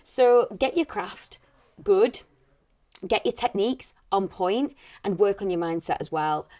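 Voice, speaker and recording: A woman's voice: medium pitch, lively intonation, measured pace, a little mellow, no echo, studio quality. A British woman, an actor and coach, giving earnest, encouraging advice in a conversational interview.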